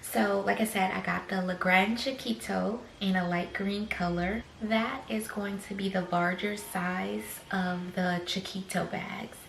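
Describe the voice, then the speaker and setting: A young woman, slightly raspy after choking on a drink, chatting slowly through an unboxing vlog with many fillers and soft whispered asides. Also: medium pitch, steady tone, slight background noise. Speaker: fashion vlogger. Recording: echoey room.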